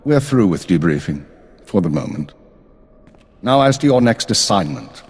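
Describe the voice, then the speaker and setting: Low-voiced stern spymaster. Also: male voice, big pitch swings, husky, exaggerated voice. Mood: businesslike. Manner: measured, formal, authoritative. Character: stern, weary male spymaster, formal and pragmatic.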